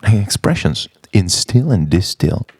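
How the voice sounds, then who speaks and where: Slow, deep, gravelly man musing poetically. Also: sing-song, darkish tone, dry recording, clean studio sound. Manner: musing, halting phrases, rhetorical questions. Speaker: narrator of a personal art film. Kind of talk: poetic, introspective spoken-word monologue.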